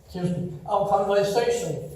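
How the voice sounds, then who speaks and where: An older man with a Chinese accent, Taiwanese his first language, giving a slow, warm eulogy full of gentle storytelling at a memorial service. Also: high-pitched, expressive. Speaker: eulogy speaker. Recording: echoey hall, distant mic.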